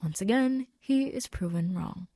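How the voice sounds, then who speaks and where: A woman's voice: medium pitch, expressive, a little slow, warm tone, slightly rough, no room sound. A woman narrating a scripted story as a podfic reader, in a conversational, wry way.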